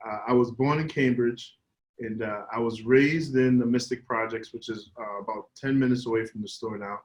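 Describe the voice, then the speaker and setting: A young man tells his story in a mid-pitched, animated way. He trips over restarts and keeps the tone casual and friendly. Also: normal speed, low-quality recording. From Somerville, Massachusetts, US. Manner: animated, laughing, stumbling restarts. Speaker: business owner, guest. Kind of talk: casual interview answers.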